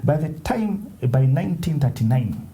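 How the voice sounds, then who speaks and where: A man co-hosting a casual panel talk show, explaining at length with frequent restarts and quick affirmations. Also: on the high side, big pitch swings, dark tone. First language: not Italian. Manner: halting, many restarts and affirmations. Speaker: co-host.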